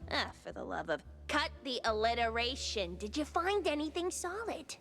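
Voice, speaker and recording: An actress playing a sassy, quick-witted young mouse tinkerer in a cartoon game, teasing and expressive. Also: female voice, on the high side, faint music behind. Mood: teasing, impatient.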